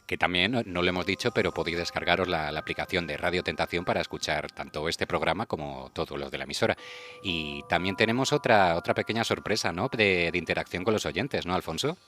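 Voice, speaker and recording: A man's voice: deep voice, quick pace, bright, forceful tone, slight background noise. A man with an accent from Spain, hosting a casual radio chat show. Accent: Spanish (Spain).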